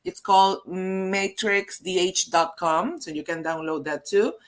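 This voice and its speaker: A woman with a Peruvian Spanish accent hosts a live online webinar, her voice sitting a little low. She talks in halting runs, restarting words and filling gaps with "um" and "uh." Her speech reaches the listener through a video call connection. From Peru. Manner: halting, many restarts and fillers. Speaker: host, HR consultant.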